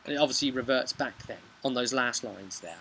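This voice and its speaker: A man in his thirties tells the story of a tabletop game session in a casual, animated way. His voice is mid-pitched and bright, with a forward edge. He is recorded outdoors on a camera mic, with some faint background noise. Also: fairly quick. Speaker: game master recapping his campaign. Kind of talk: casual storytelling vlog about a tabletop role-playing session. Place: outdoors on a bench beside a brick house. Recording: noisy outdoor home video recording.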